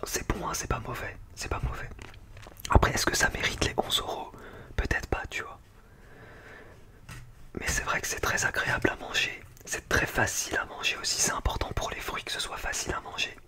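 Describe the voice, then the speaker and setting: An ASMR creator, speaking in a breathy, unvoiced whisper in an informal, slangy, chatty way while reviewing food. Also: medium pitch, wildly swooping pitch, a little fast, sharp tone. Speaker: ASMR video creator and host.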